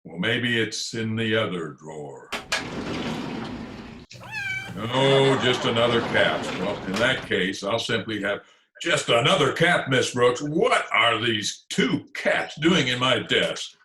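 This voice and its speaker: Radio comedy principal, male actor: pompous, excitable, sharp-edged voice on an echoey remote recording. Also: mid-range voice, takes it slowly. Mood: bossy, irritated. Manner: pompous, blustering. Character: pompous, easily panicked male school principal. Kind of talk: old-time radio comedy acting.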